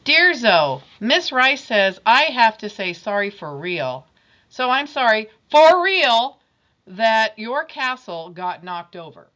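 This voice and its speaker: A woman's voice: fairly high pitch, very animated, slow, bright, forceful tone, full character voice. A woman reading as a grudging young schoolboy. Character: grudging young schoolboy writing apology notes.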